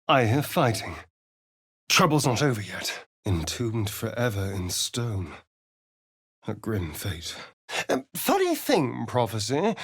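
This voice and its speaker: An actor voicing a sly, vain vampire rogue for a video game, with an English accent and an arch, theatrical, drawling delivery. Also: male voice, medium pitch, fairly bright tone, breathy edge, heavily acted. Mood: wry, sardonic.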